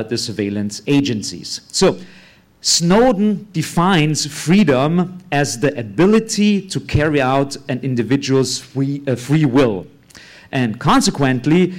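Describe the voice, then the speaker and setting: Austrian-accented male speaker, blunt and halting, mid voice, stage talk. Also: mid-range voice. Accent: Austrian German. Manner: hesitant, many uh fillers, blunt with curse words. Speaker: conference speaker. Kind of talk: live talk without slides.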